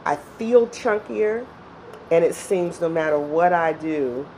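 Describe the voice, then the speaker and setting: A woman in her fifties talks wryly and unhurriedly. Her voice is deep and gravelly. Faint ambience hums behind her. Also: slow pace, warm tone, slight background noise, poor audio. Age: 50s. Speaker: interviewee.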